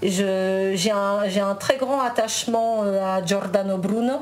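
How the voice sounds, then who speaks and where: A woman's voice: medium pitch, steady tone, a little slow, darkish tone. A woman whose first language is Spanish, a guest in a casual interview chat, talking with many fillers and restarts.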